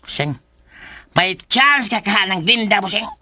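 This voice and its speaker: A cheeky shop-minding sidekick in a radio comedy drama. The voice is high and very sharp, closely recorded, full of needling banter. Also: male voice, animated, measured pace, no room sound, studio quality, exaggerated voice. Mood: mocking, playful. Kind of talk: Filipino radio drama acting.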